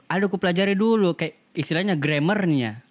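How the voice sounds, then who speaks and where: High-voiced Makassar man reading messages. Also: a little fast. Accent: Makassar Indonesian. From Makassar, Indonesia. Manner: chatty, reads out listener messages, laughs often. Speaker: podcast co-host. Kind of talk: casual chat.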